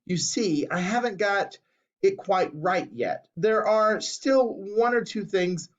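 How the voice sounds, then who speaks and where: A man voicing a gentle, fretful eccentric inventor, in a muffled recording. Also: high voice, slow, pitched above the performer's own voice. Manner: gentle and fretting.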